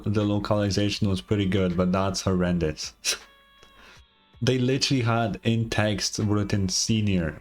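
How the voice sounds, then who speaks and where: A man with a fairly low voice gives casual let's-play commentary. He is informal and wry, reacting to the game as its music plays faintly behind him. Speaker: game streamer.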